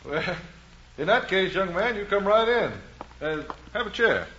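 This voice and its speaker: Genial small-town American bank president: fatherly, folksy, lively intonation, old-time drama on a lo-fi recording. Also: high-pitched, measured pace, slight background noise, exaggerated voice. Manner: folksy, avuncular, hesitating. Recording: dull, lo-fi vintage recording.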